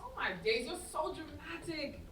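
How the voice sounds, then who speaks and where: Actress as a high, swooping young woman, vexed. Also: normal speed. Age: young adult. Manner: exasperated, animated, slangy. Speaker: student actor in a staged reading. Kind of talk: theatrical staged play reading. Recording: roomy, distant stage recording with noise.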